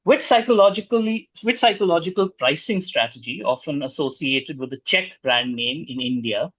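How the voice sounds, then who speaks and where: A man hosting a quiz, reading out questions in a formal, measured way. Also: on the high side, animated, fairly bright tone, no echo, dull audio.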